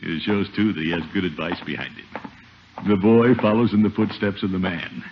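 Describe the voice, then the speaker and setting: An actor playing a pompous, boastful middle-aged uncle, jovial and blustery, in a 1950s radio comedy. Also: male voice, deep voice, fairly even intonation, fairly quick, close to an everyday voice. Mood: self-satisfied. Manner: pompous, swooping, self-satisfied. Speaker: lead comic character. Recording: muffled, noisy 1950 radio broadcast.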